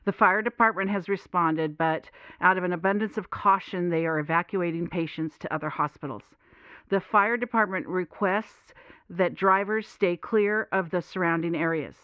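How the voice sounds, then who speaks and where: A woman plays a female radio host presenting in a formal, articulate way. Her mid-pitched voice is dry and slightly dull, with a lo-fi broadcast sound. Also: clear, resonant tone, no room sound, lightly acted. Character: composed female radio call-in host turned newsreader. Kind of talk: radio presenting within an audio drama.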